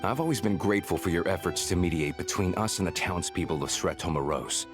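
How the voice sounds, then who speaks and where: Man playing a deep, formal officer. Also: music in the background, lightly acted. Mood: grave, formal. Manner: formal, measured, authoritative. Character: stern, formal male officer in charge. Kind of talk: video game voice acting.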